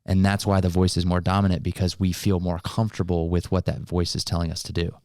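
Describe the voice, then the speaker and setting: A man with a low, deep voice speaks as a podcast co-host. He explains motivational ideas in casual, colloquial language, and the recording is dry and close. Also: flat delivery, fast talker, a little mellow, no echo.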